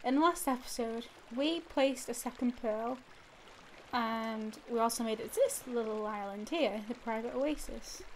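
A woman's voice: fairly high pitch, moderate pace, poor audio. A young woman with a stuffy nose from a cold, giving rambling casual commentary over a video game, full of fillers and restarts. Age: young adult. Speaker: let's play gamer. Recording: audible background noise.

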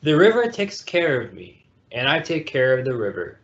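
Man, children's book read-aloud, storytime host, mid-pitched voice, dull lo-fi audio. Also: huge pitch swings, normal speed, fairly bright tone. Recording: dull lo-fi video call audio.

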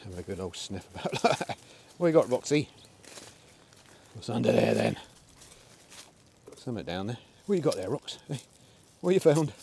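Relaxed middle-aged man, slightly low voice, casual outdoor vlog talk. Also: normal speed, creaky, long pauses, breathy edge. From England. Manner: chatty, relaxed, talks to his dogs. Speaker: air rifle shooting vlogger. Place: outdoors in a windy field by a pond. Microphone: camera on a tripod, then handheld.

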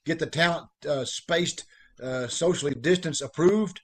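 A man's voice: fairly high pitch, a little slow, husky. A middle-aged man chatting casually on a video call as a co-host. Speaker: co-director and producer of a radio troupe. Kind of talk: casual chat.

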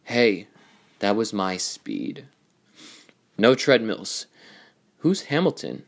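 A man voicing a cocky, excitable young talking race car in an amateur cartoon audition, with theatrical, emphatic delivery. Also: on the low side, slow pace, creaky, full character voice. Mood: frustrated.

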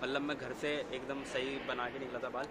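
A young man talks to his vlog audience in casual, slangy speech sprinkled with English. His voice sits at an average pitch. It is captured on a handheld phone with plenty of noise around him. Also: little pitch movement, quick pace. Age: young adult. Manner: chatty, slangy, mixes in English words. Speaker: vlogger. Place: city pavement, mall and parking area. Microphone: phone at arm's length. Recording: noisy handheld recording, traffic and ambience.

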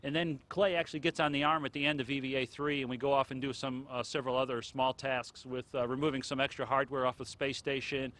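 Brisk, bright-voiced middle-aged man. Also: medium pitch, flat delivery. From Connecticut. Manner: brisk, explanatory, light humor. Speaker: astronaut spacewalker. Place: outdoors at a launch pad.

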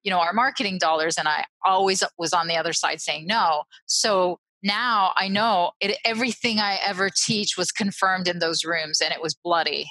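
A woman's voice: lowish voice, normal speed, hardly any pauses, dry recording, low-quality recording. A woman in her forties, a guest on a video-call interview, speaking bluntly and animatedly through a headset mic in a small room. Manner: blunt, animated, self-interrupting, laughs often.

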